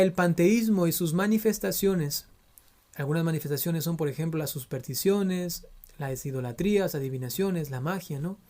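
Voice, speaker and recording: A priest from Ecuador teaches theology in an earnest, admonishing way, his Ecuadorian Spanish accent clear throughout. His voice is mellow and warm, and he keeps checking in with his listeners as he explains. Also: on the high side. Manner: earnest, admonishing, frequent tag questions. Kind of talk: theology lecture.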